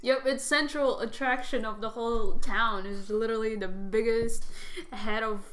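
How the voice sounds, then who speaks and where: A woman's voice: a little high, steady tone, normal speed, fairly bright tone. A young woman from Siberia with a Russian accent, a podcast guest who chats hesitantly and searches for English words. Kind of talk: casual chat.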